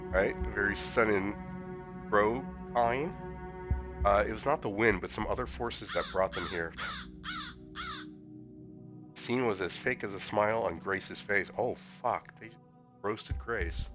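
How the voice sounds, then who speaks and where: Hoarse male streamer reading game dialogue. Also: measured pace, long pauses. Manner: casual, sarcastic commentary with sudden high-pitched incredulous outbursts. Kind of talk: live stream reading a visual novel aloud. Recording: music playing behind the voice.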